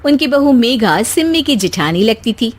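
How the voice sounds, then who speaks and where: Animated woman narrating a story. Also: on the high side, moderate pace.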